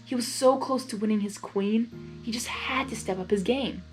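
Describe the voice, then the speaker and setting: A woman's voice: a little high, normal speed. A woman reading a story aloud expressively, with casual, informal asides in a giggly manner. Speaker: fan fiction reader. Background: music.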